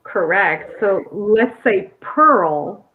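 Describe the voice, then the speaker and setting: A woman's voice: mid-range voice, expressive, very slow, phone-quality sound, slight background noise. An American woman, an ESL teacher, leading a live conversation lesson and coaching a student's pronunciation. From United States. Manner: encouraging, corrects pronunciation.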